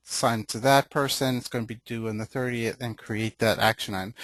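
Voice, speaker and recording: A man presenting a webinar, explaining a software demonstration informally, in a conversational way with hesitant, pausing phrasing. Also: mid-range voice, dry recording.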